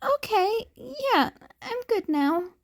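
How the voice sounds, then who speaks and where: A woman voicing a small, timid cat-boy in a podfic story, with a quiet, hesitant, pleading voice. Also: extremely high pitch, very animated, takes it slowly, voice pushed up, full character voice. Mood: wary, subdued. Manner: hesitant, pleading, breaking off mid-sentence. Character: frightened small cat-boy, timid, wary, cheekily cute.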